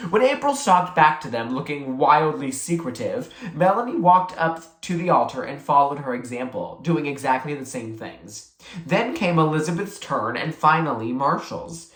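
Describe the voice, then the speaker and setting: A reader giving an expressive, dramatic read-aloud of a children's story. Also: medium pitch. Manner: animated, dramatic. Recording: roomy, echoey sound.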